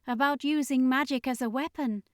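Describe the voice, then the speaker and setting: A woman's voice: sits high, fairly even intonation, normal speed, no echo, studio quality, voice pushed up, natural voice. A woman voicing an earnest, humble female alien survivor in an audiobook story. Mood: regretful, earnest.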